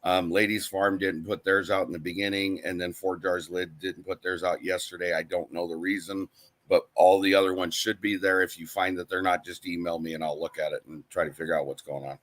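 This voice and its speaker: A man's voice: sits low, level tone, fairly quick, fairly bright tone. A man co-hosting a casual live-stream chat, reading viewer comments aloud and answering them. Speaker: co-host of a live channel chat.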